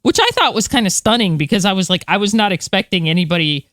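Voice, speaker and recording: A woman's voice: medium pitch, animated, fast, fairly bright tone, dry recording. Woman chatting casually, dry close sound. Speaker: podcast co-host. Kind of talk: casual chat.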